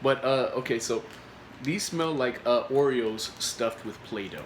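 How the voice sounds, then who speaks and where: A man talks through a snack review in a loose, rambling way, full of "uh"s and restarted sentences, still getting over a cold. His voice sits at an ordinary middle pitch. The room gives it a noticeable echo with faint background noise. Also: a little slow. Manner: rambling, many filler words and restarts. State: recovering from a cold. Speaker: food reviewer. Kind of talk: casual product review vlog.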